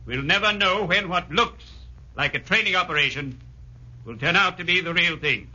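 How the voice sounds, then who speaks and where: An actor playing a stern colonel making a formal, declamatory speech to commandos in a 1940s radio drama. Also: male voice, sits high, moderate pace, bright, forceful tone, exaggerated voice. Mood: solemn, rousing. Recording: muffled 1940s radio broadcast.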